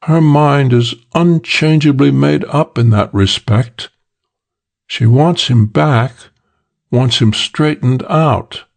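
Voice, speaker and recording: A man voicing an earnest, formal client in an audiobook, speaking deliberately and emphatically. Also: medium pitch, gravelly. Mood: grave, urgent. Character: earnest businesslike man hiring a detective to find someone.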